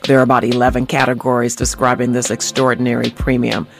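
A woman working as a radio news reporter and host, delivering reports and fund-drive pitches with emphatic, drawn-out phrasing. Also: little pitch movement, vocal fry, music in the background.

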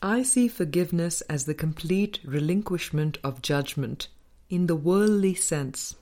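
A woman reading a spiritual book aloud as a scripted audiobook, in a measured, deliberate manner with pauses between phrases. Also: fairly low pitch, lively intonation, a little mellow. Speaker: audiobook reader.

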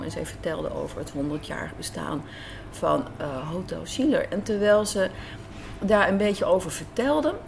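A Dutch-accented woman with a medium-pitched voice talks as an interview guest. She tells things conversationally, hesitating as she looks for words, with some café noise around her. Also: darkish tone. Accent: Dutch, from the Netherlands. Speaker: radio drama writer and director, interview guest. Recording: clear café background noise.

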